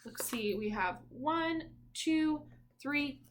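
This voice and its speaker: A woman teacher reads a children's picture book aloud in a fairly high voice. Her pace is very slow and sing-song. She adds little exclamations and asides and invites young listeners in with questions. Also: expressive, background noise.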